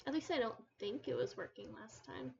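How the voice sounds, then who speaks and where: A soft-spoken young woman with a quiet voice, chatting hesitantly and giggling often on a casual live stream. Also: fairly high pitch, animated, a little fast, creaky, highs cut off, a little hiss or hum. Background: soft background music.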